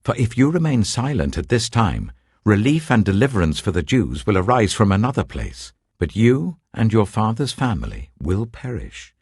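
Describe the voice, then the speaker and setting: A man's voice: fairly low pitch, very animated, normal speed, a little mellow, husky, dry recording, clean studio sound, voice pushed up, heavily acted. A British man voicing a stern, urgent elder in an audiobook, grave and emphatic. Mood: stern warning.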